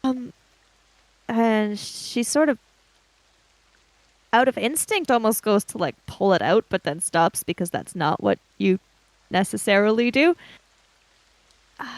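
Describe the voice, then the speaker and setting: A woman's voice: medium pitch, animated, measured pace, long pauses, no room sound, rough recording. A woman playing a tabletop roleplaying game, casually describing her character in a tentative, trailing way.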